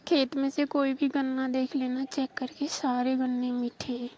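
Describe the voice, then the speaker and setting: High, coy woman on a phone call. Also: little pitch movement, normal speed, a little mellow, a little hiss or hum. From Rajasthan. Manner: teasing, bargaining, coy. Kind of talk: staged flirtatious phone call. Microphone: phone call recording.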